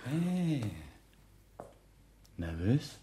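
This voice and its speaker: A man's voice: mid-range voice, big pitch swings, very slow, dark tone. An actor playing a brash, businesslike male hustler in a radio drama, blunt and colloquial. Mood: cocky, matter-of-fact. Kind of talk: German radio drama acting.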